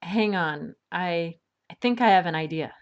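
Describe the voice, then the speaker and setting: Level-headed swashbuckling partner, played by a woman: dry, bookish, skeptical, unhurried and exact in audio drama. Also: on the low side, a little hiss or hum. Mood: thoughtful, focused. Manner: precise, dry, measured. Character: sensible, bookish woman adventurer, dryly skeptical.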